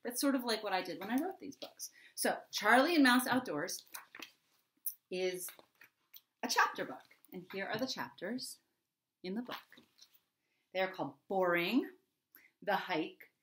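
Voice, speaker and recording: A woman's voice: mid-range voice, lively intonation, a little slow, long pauses, a bit bright, a little hiss or hum. A middle-aged American woman, a children's author, explaining things to young children in a simple, conversational teaching manner at home. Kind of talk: explaining to young children before a story reading. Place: home office, indoors. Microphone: computer webcam microphone at desk distance.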